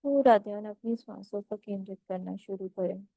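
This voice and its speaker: Female meditation instructor, relaxed dark timbre, close dry sound, unhurried phrases with pauses, gently instructive. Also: medium pitch, gravelly, dry recording. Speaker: meditation guide.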